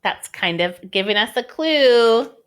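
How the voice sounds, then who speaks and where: A woman's voice: a little high, animated, slow pace, fairly bright tone, smooth. A woman reading a story aloud to young children like a teacher, expressively, with questions put to her listeners.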